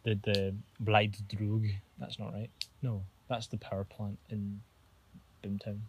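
A man's voice: fairly low pitch, little pitch movement, takes it slowly, darkish tone, a little hiss or hum, poor audio. A man co-hosting a podcast, chatting casually outdoors with dry, teasing banter. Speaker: podcast co-host. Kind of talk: casual chat. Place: outdoors near a railway line.

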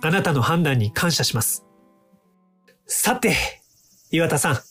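An actor in an audio drama playing an earnest, polite young time-traveling inventor who explains things courteously. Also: male voice, a little high, lively intonation, measured pace, fairly bright tone, soft background music. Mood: sincere, composed.

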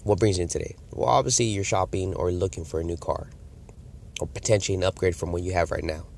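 Deep-voiced man with a cold. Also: steady tone, fast, creaky, darkish tone, a little hiss or hum. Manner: conversational, acts out customer and salesperson lines. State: congested from a cold. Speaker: sales coach. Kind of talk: casual instructional talk to camera.

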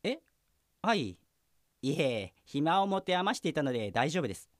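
Male actor as exasperated junior cop, high-pitched, animated delivery, sarcastic, dutiful, comic radio play. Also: normal speed, no room sound, studio quality. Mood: businesslike. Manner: dutiful politeness breaking into muttered insults. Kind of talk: comic radio drama acting.

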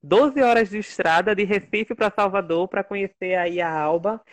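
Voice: mid-range voice, moderate pace. A guest speaking about their work in an impassioned, casual way, repeating phrases for emphasis. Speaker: guest, works at a state legislative assembly. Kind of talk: casual online conversation.

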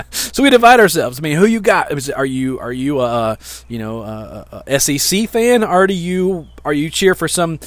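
A man's voice: on the high side, measured pace, dry recording. A man, hosting a sermon-like podcast from outdoors, rambling and repeating words with self-deprecating humor. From Louisiana, American South. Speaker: podcast host.

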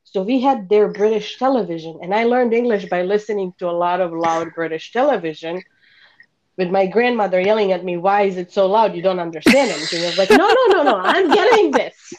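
A woman from Bosnia, a podcast guest in her thirties telling animated stories in a casual interview and laughing often. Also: medium pitch, fairly quick, low-quality recording.

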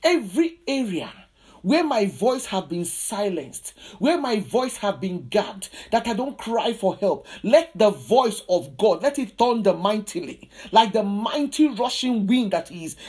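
Woman, preacher, charismatic prayer style, emphatic, insistent, repetitive declarations, mid-pitched voice. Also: darkish tone.

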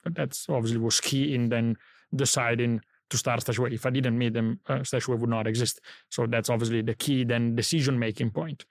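A man's voice: medium pitch, fairly even intonation, a little fast, dry recording, clean studio sound. Italian-accented man speaking thoughtfully. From Italy. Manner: reflective, thinks aloud while telling his story. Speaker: guest, company founder. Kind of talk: podcast interview. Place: studio.

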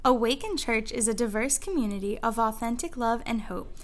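A woman with a somewhat high voice reads a church podcast intro. Her delivery is smooth and even, like a scripted announcement. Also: a little fast.

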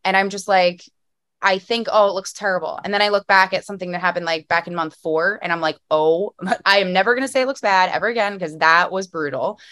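Philadelphia woman host, lower voice, chatty, laughs a lot. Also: fairly quick, no echo. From Philadelphia area, US. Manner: enthusiastic, warm laughing, rambling restarts. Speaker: podcast host. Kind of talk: casual interview chat.

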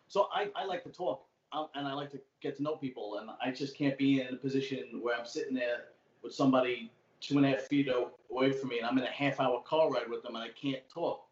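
A man's voice: mid-range voice, brisk. A man with a thick old-school Brooklyn accent talks casually as a podcast guest. He tells stories at length and swears freely. The sound is roomy and dull, with a faint hiss behind him. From Brooklyn, New York. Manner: talkative storyteller, swearing freely. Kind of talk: casual chat. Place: home room, remote call. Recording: echoey room, dull lo-fi sound.

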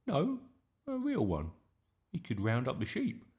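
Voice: medium pitch, wildly swooping pitch, measured pace, a little mellow, voice pushed up. A performer voicing an eager, cheeky little schoolboy in a storybook, earnest and pleading.

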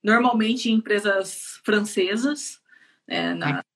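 A woman's voice: mid-range voice, wildly swooping pitch, slow pace, a bit bright. A woman in her thirties from São Paulo state in Brazil, with a Brazilian accent, chatting as a guest on a live interview, laughing often and using many fillers. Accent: Brazilian Portuguese, São Paulo state. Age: 30s. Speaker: guest, production engineer.

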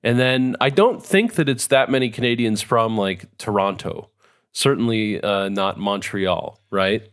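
Middle-aged male co-host, low voice, wry rambling chat. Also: unhurried, fairly bright tone, clean tone. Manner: rambling, wry, laughs often. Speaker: podcast co-host. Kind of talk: casual chat.

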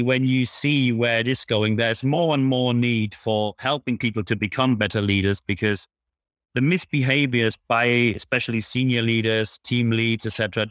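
A man's voice: medium pitch, fairly bright tone, no room sound. Blunt German-accented man, close dry sound. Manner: blunt, emphatic. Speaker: guest, public speaker on leadership. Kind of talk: interview answers, explanatory.

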